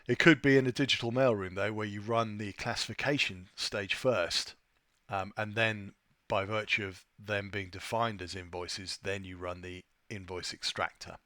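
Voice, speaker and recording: A man presenting an unscripted software tutorial, thinking aloud with a hesitant delivery as he walks through his screen. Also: on the low side, fairly even intonation, moderate pace, hardly any pauses, no room sound. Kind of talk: unscripted screen-share walkthrough.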